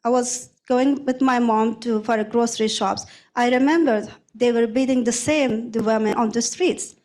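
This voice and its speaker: A young adult woman from Afghanistan, a former prosecutor speaking as a panel guest, talking in halting phrases with many restarts and repeated words. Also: fairly high pitch, steady tone, a little mellow, poor audio. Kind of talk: panel discussion answer.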